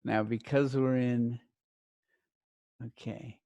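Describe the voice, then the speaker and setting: A man giving an instructional lesson as a teacher trainer, speaking deliberately in short phrases and often checking in with brief questions. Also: mid-range voice, steady tone, vocal fry, dark tone, no room sound, highs cut off. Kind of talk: instructional talk to learner teachers.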